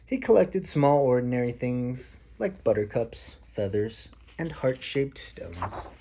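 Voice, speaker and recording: A man's voice: medium pitch, lively intonation, takes it slowly, warm tone, slight background noise. A man reading a picture book aloud to a child as a father, patiently explaining things along the way. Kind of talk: storybook read-aloud with casual asides to a child.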